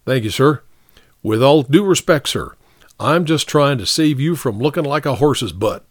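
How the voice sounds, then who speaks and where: A man's voice: medium pitch, animated, normal speed, no room sound, clean studio sound, voice pushed up, natural voice. A man voicing a shrewd, unflappable first sergeant in an audiobook, plain-spoken yet formally respectful. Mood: steady, respectful. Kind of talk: audiobook character dialogue.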